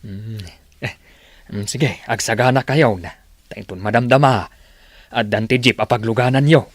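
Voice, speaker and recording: A man with an Ilocano accent acting a soft-hearted, protective older village father in a drama. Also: mid-range voice, moderate pace, dry recording, lightly acted. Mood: tender, concerned.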